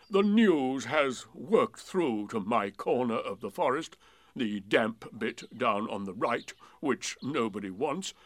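A man voicing a gloomy, solemn old male donkey in a storybook reading, speaking slowly and weightily. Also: mid-range voice, a bit bright, no echo, exaggerated voice. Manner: slow and weighty.

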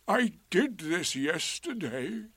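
A man voicing a gloomy old donkey in a children's storybook, with a drawn-out, mournful and sardonic delivery. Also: sits high, sing-song, fairly bright tone, husky, no echo, pitched above the performer's own voice, full character voice. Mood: ponderous. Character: gloomy old male donkey, slow, ponderous and doleful.

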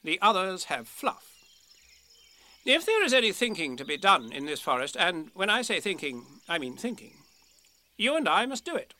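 An officious storybook rabbit, put on by a man, pitched high and bright. He speaks curtly and emphatically, impatient and full of his own importance. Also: very animated, normal speed, full character voice. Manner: curt, emphatic, solemn and officious. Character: brisk, self-important, impatient male rabbit. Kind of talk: children's storybook character reading.

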